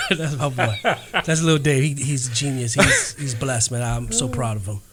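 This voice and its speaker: A man's voice: medium pitch, animated, normal speed, fairly bright tone. A man filling in as co-host on a faith podcast, playful and joking, laughing through his lines. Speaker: fill-in co-host. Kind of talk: casual faith podcast chat.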